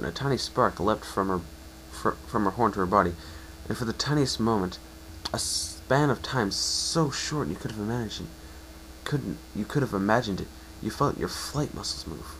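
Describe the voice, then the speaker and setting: A man's voice: lowish voice, fairly bright tone, slight background noise, poor audio. A man narrating a fan story as an amateur reader, often stumbling and correcting himself. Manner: halting, self-correcting delivery.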